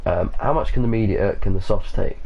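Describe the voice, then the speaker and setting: Deep-voiced croaky young man. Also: fairly quick, mellow, highs cut off. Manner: casual, hesitant with many ums, swears. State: croaky, sore throat. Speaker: gaming video host. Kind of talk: casual gameplay commentary.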